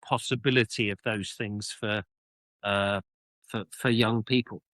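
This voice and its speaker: A middle-aged British man telling his own story as an interview guest, reflective and halting, often repeating words as he searches for phrasing. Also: lowish voice, steady tone, a bit bright, dry recording, clean studio sound. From United Kingdom. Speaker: interview guest, author and former army officer.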